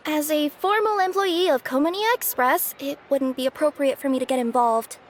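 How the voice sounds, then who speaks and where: A woman's voice: sits high, normal speed, slight background noise, natural voice. A woman voicing a sweet, anxious young cat-spirit courier girl in an anime video game, girlish, hesitant and earnest. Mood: worried.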